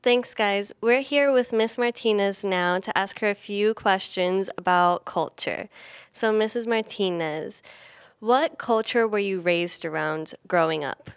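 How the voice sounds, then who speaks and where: A woman's voice: medium pitch, a little slow, clear, resonant tone, dry recording. Teen girl reporter, clean dry voice, polite questions into a handheld mic. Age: teens. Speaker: student reporter. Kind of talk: interviewing teachers. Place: classroom indoors.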